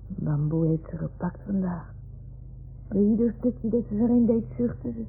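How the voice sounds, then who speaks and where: A woman's voice: medium pitch, unhurried, creaky. A woman playing a young boy who talks to his toys in a radio play, earnest and childlike as he explains things. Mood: earnest, gentle. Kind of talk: 1960s-70s Dutch radio drama acting. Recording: muffled, phone-like old radio recording.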